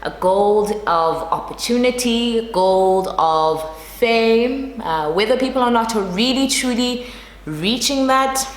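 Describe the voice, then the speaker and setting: A woman's voice: on the high side, expressive, slow pace. A young South African woman with a South African accent, musing to camera as a vlog host. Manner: musing, reflective, with fillers. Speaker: YouTuber and street interviewer. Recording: roomy echoing room with long reverb and faint noise.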